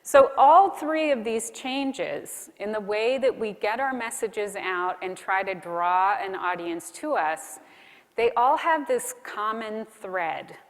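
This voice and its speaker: A woman speaks as a keynote presenter, with a mid-pitched voice that carries through a big room with long reverb. She explains her points deliberately and sets off key phrases with emphatic pauses. The delivery is that of a prepared conference talk. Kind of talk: conference presentation on marketing to a live audience. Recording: reverberant hall sound.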